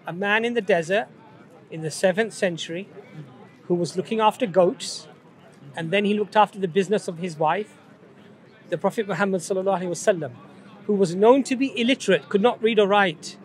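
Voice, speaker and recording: A man lectures firmly and leans on rhetorical questions as he argues on a city street. His voice sits high for a man. He speaks outdoors among the bustle. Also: fairly quick. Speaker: street preacher. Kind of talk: street debate.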